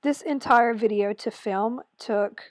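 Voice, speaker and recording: A woman with a hoarse, raspy voice chatting through a makeup tutorial voice-over, rambling and correcting herself as she goes. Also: fairly high pitch, slow, no room sound. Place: voice-over recorded separately from the video.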